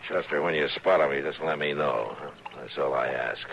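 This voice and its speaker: An actor playing a stern, steady frontier marshal in a 1950s radio drama, dry and commanding. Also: male voice, extremely low pitch, normal speed, gravelly, slight background noise, heavily acted. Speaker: town marshal. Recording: lo-fi old radio broadcast, saloon crowd behind.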